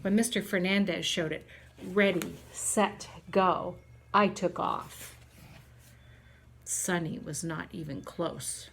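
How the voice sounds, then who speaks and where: A woman reading a children's storybook aloud like a teacher, in an expressive, deliberate storytelling manner. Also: fairly low pitch, vocal fry, slight background noise.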